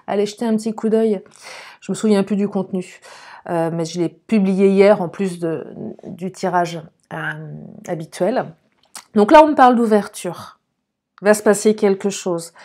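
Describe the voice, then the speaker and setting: A slightly hoarse woman gives an informal love card reading, chatting to viewers and thinking aloud. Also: medium pitch, darkish tone. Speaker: online love card-reading guide and host.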